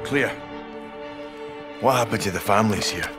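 An actor playing an alert, inquisitive young special forces sergeant in a military game. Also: male voice, on the low side, moderate pace, loud music behind the voice, lightly acted.

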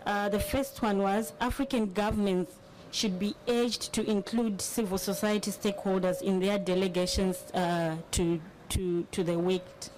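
A woman's voice: mid-range voice, steady tone, moderate pace, low-quality recording. A woman on a conference panel reading out recommendations, with frequent hesitations and restarts. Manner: hesitant, many filler sounds and restarts. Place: large conference hall.

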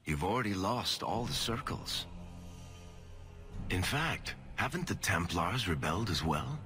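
A middle-aged dwarf adventurer in a video game. His voice is deep, his delivery wry and theatrical, like a practiced teller of tales. Music plays clearly behind him. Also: sing-song, measured pace, sharp tone, exaggerated voice. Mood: sardonic. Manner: sardonic, dramatic storytelling. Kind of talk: video game voice acting, narrative.

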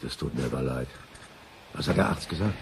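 An actor playing a polite, measured male lodger with grave forebodings in a radio play. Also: extremely low pitch, lively intonation, slight background noise. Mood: concerned, curious. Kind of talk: German radio play acting.

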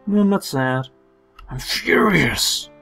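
A man's voice: on the high side, animated, slow pace, gravelly, fairly bright tone, faint music behind, exaggerated voice. A man voicing a brooding, earnest young man in a melodramatic game dub.

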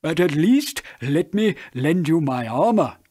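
A man's voice: a little high, lively intonation, unhurried, dark tone, husky, voice pushed up. A man doing a flustered stammering king. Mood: yielding. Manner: stammering, startled. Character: flustered old male king, fussing.

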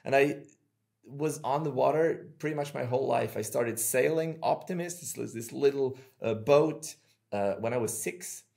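A Swiss man in his thirties hosts a casual podcast from a small room at home. He tells his stories in an animated, chatty way. The sound comes through earbuds on a video call. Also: medium pitch, normal speed, creaky. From Switzerland. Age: 30s. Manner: chatty, animated storytelling with hand gestures. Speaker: podcast host.

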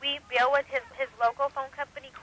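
Woman, telephone sales, high voice, quick pace, persistent, informal, faint noise on phone line. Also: little pitch movement, fairly bright tone. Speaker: telemarketer.

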